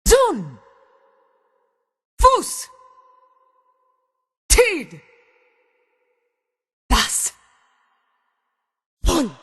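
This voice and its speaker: An actress playing a fierce female high elf in a fantasy video game, calling out single words of power forcefully. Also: full character voice. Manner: forceful, isolated exclaimed words.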